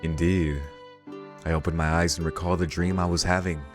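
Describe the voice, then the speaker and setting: Low-voiced man reading game narration. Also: little pitch movement, brisk. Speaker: let's play host. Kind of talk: reading visual novel narration aloud with brief comments. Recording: game music behind the voice. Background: game music.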